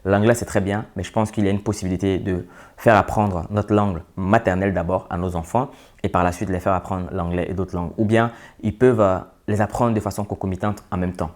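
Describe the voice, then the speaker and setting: Low-voiced man advising earnestly. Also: fairly even intonation, fairly quick, a little mellow. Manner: earnest, advising, with hesitant fillers. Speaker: vlogger. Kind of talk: opinion monologue to camera.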